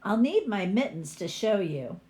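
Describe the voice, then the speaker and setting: A woman's voice: mid-range voice, very animated, unhurried, a little mellow. A woman voicing a clever young skier who reasons persuasively with trolls in a storybook. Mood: reluctant.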